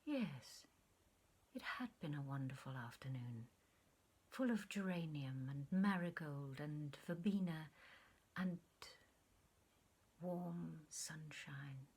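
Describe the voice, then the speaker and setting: A woman actor reading a short story as literary narration, in an expressive, measured storytelling manner. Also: low-pitched, husky.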